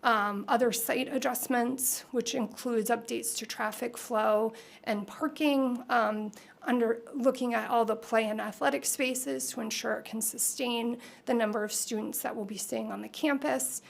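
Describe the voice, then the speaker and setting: A woman presents school plans at a public meeting, pausing and restarting often with filler words. Her voice is fairly high with a gravelly edge. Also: fairly even intonation. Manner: halting, with many fillers. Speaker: school board member.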